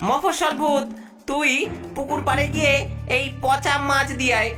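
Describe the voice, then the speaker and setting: A woman's voice: high-pitched, steady tone, moderate pace, music in the background, lightly acted. A woman voicing a greedy, scheming male ghost boss in a cartoon, with a domineering, scolding and gloating manner. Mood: scolding, bossy. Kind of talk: cartoon voice acting.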